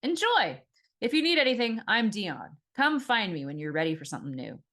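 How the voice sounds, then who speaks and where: A woman's voice: on the high side, sing-song, brisk, a bit bright, pitched above the performer's own voice. A woman voicing an easygoing, gossipy male bartender in an audiobook. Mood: friendly, casual.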